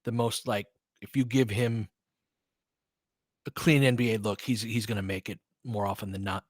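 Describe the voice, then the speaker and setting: A man's voice: on the low side, fairly even intonation, normal speed, dry recording, studio quality. A man doing casual, informal live basketball play-by-play in clipped phrases, chatting with listeners as he goes. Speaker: podcast host doing live play-by-play commentary. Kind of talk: casual live basketball commentary with chat replies.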